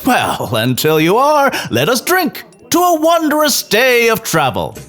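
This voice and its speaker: A man playing an effusively friendly, deferential gentleman hunter in a fantasy audio drama, chatty and flowery in speech. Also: very high-pitched, huge pitch swings, measured pace, exaggerated voice. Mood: effusive, ingratiating. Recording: voice over background music.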